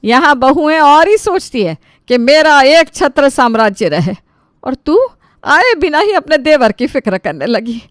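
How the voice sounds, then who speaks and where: Woman doing a doting middle-aged indian in-law in a cartoon story, highish voice, gushing and affectionate, dry recording. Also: sing-song, measured pace, dry recording. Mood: gushing, admiring. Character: doting, impressed older female in-law, gushing with praise. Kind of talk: animated Hindi family story dialogue.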